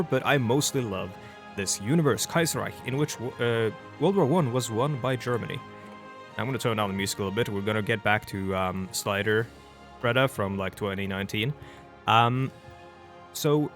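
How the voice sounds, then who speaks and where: A young Norwegian man talks through a strategy game in English with a Norwegian accent, chatty and meandering, often breaking off to start a sentence again. His voice sits at an ordinary middle pitch. Soft music plays faintly under him. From Norway. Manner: chatty and rambling, with frequent restarts. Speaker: let's play host. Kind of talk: casual gameplay commentary. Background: faint background music.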